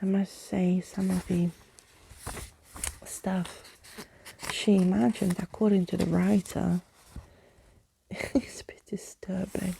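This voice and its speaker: A woman reading a bedtime story in ASMR style, soft and hushed, often slipping into whispers, close to the microphone. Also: mid-range voice, creaky, a little hiss or hum, rough recording. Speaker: storyteller reading a book to listeners.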